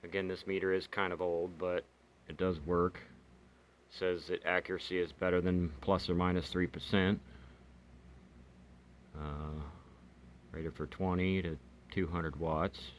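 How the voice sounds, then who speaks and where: A man's voice: low-pitched, flat delivery, a little slow, long pauses. A man giving a casual, unscripted hobbyist product demonstration, talking in a hesitant, rambling way. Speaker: hobbyist video host reviewing radios. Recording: clear background noise.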